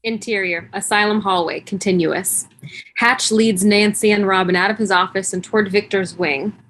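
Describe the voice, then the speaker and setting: A woman's voice: mid-range voice, fairly even intonation, normal speed, a bit bright, a little hiss or hum, low-quality recording. A woman reading screenplay stage directions as scripted narration for a table read.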